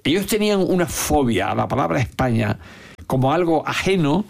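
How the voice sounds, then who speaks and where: An older man with an Andalusian accent, giving opinionated, anecdotal answers in an interview, often halting and repeating words. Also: a little high, sing-song, vocal fry. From Andalusia, Spain. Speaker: interview guest, veteran Andalusian politician. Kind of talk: unscripted reflective interview talk.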